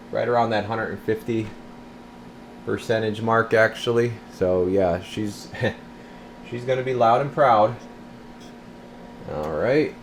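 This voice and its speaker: A man with a very low voice talks casually through his bench work like a repair technician. His delivery is informal and rambling, explaining as he goes. A steady noise sits clearly behind him in the workshop recording. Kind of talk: casual hands-on demonstration vlog. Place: indoor radio repair workbench.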